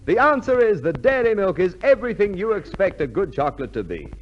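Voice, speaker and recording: A man's voice: high voice, big pitch swings, a little fast. A man reading a scripted 1950s radio advertisement as commercial announcer. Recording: dull vintage radio recording, faint noise.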